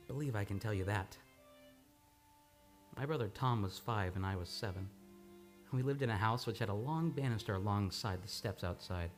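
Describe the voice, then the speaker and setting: An actor playing an earnest, tender man haunted by returning memories, with halting, dramatic delivery in an old-time radio play. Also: lowish voice, natural voice. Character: haunted male amnesia patient recovering his memory, tender and earnest. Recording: studio radio play with music behind.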